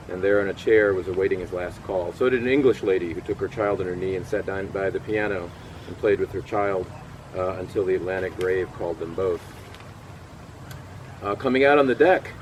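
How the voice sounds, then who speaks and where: A middle-aged man giving a first-person historical portrayal outdoors, telling his story with frequent pauses and fillers. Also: on the low side, slight background noise, natural voice, poor audio. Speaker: historical portrayer at a cemetery event. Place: outdoors in a cemetery. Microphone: far camera.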